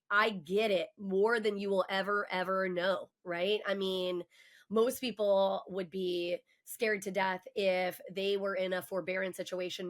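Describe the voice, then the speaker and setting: A woman's voice: medium pitch, fairly bright tone. A woman in her forties, a guest on a podcast, telling her own story in a candid, emphatic and passionate way. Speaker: mortgage professional. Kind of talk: casual podcast conversation.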